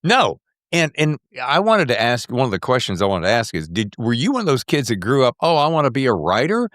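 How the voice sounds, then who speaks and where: A male podcast host chats casually with a guest, his pitch swinging widely. He sounds animated and enthusiastic, with a mid-range voice in a dry recording. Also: brisk, dry recording. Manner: animated, exclamatory. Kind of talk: casual enthusiastic interview chat.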